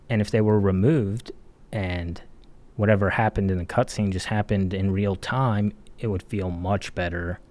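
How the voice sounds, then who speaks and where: Low-voiced man giving blunt game commentary. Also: darkish tone. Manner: blunt, sardonic, casual swearing. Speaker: video game reviewer. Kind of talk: casual critical video essay commentary.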